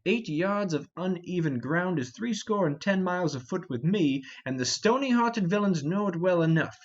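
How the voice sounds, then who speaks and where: Brief: a man as fat old rogue knight, exclamatory and complaining, very animated delivery, Shakespearean stage reading, dull lo-fi recording. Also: high voice, moderate pace. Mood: grumbling. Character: blustering, grumbling, fat older thief and knight.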